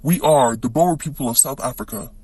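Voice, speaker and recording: A man's voice: medium pitch, fairly quick, very noisy, natural voice. A man voicing a smug, scheming colonizer puppet in an amateur puppet show, speaking in a theatrical, emphatic way. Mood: proud, explanatory.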